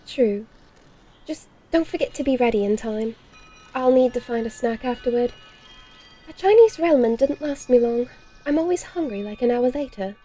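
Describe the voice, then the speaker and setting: A woman's voice: fairly high pitch, animated, normal speed, mellow, soft background music. A woman playing a refined, coy female vampire with proper phrasing in a horror-comedy audio drama. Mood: poised, breezy. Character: genteel, predatory female vampire immigrant out west.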